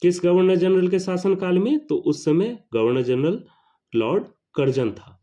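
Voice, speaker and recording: Man teaching in a dark, mellow voice. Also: sits high, a little slow. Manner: repeats questions and answers for emphasis. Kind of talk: exam question-and-answer lesson. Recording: slightly dull lo-fi sound.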